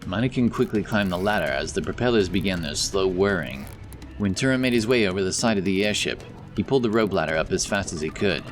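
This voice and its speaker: A man's voice: deep voice, lively intonation, fairly quick, faint music behind. A man narrating a scripted fantasy story as the storyteller of an audio drama.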